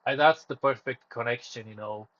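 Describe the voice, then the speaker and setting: A man's voice: mid-range voice, moderate pace, fairly bright tone, dull audio. Rambling man streaming. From Romania. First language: Romanian. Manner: rambling, self-correcting, drifts off topic. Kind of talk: casual solo livestream chat.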